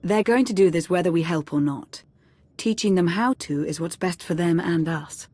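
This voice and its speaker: A woman playing a calm, wry advisor in a video game, with a dryly exasperated manner. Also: lowish voice, moderate pace, no echo, close to an everyday voice.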